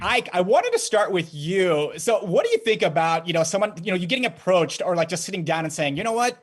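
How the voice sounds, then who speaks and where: Male entertainment host, high voice, upbeat and very animated intonation. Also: brisk, slight background noise. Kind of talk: upbeat interview hosting.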